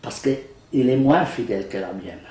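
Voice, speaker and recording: Brief: older man, Arabic native, lively up-and-down delivery, joking and hesitant, recorded at a distance in an echoey room. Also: mid-range voice, normal speed. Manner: animated, joking, laughs often, many hesitations. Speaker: Quran translator being interviewed. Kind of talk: casual interview conversation. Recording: roomy, mic at a distance.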